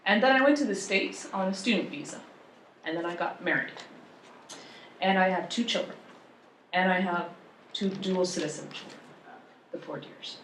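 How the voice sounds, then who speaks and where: A woman's voice: lowish voice, moderate pace, pauses often, fairly bright tone, a little hiss or hum. A tax law professor, a woman from Ontario, talking on a panel in a wry, chatty, self-deprecating way. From Ontario, Canada. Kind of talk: conversational panel talk. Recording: echoey room.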